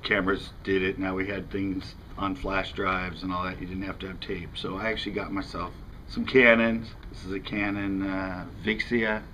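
A man's voice: deep voice, little pitch movement, normal speed, few pauses, bright, forceful tone, a little hiss or hum. A middle-aged American man in his fifties, a fishing channel host talking casually to camera in a rambling, conversational way. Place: outdoors in front of a house window.

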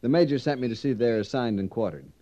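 Quick, mellow young officer type, man acting. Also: medium pitch, lively intonation, natural voice. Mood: formal. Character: formal, dutiful army lieutenant. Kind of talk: 1950s radio western acting.

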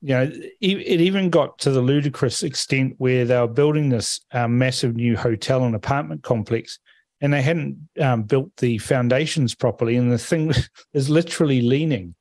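Man, from Fiji, assertive panel talk, middle pitch, dry sound. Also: moderate pace, darkish tone, dry recording. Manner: forthright, assertive opinions. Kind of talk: political panel discussion.

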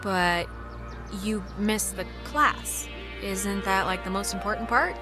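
A woman's voice: medium pitch, slow, over music, lightly acted. A young American woman playing a blunt, friendly teenage girl in a video game, with a dry, casual way of talking. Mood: friendly, curious. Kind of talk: naturalistic conversational video game acting.

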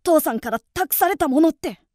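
Intense, high-voiced vengeful boy. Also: lively intonation, darkish tone, no room sound, clean studio sound. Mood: shaken. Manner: intense, halting, emotional. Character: earnest vengeful teenage son of a murdered swordsmith. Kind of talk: theatrical radio drama acting. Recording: music behind the voice.

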